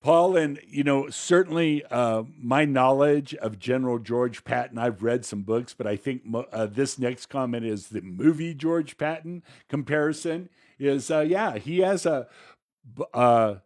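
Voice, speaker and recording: A man's voice: mid-range voice, measured pace, darkish tone. A man co-hosting a podcast, giving informal, conversational political commentary in loosely strung phrases. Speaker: podcast co-host.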